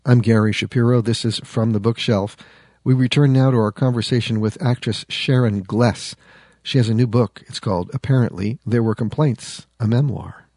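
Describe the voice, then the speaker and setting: A man's voice: medium pitch, steady tone, normal speed, dark tone, no room sound, clean studio sound. A male radio host interviewing a guest in a genial way, chuckling often.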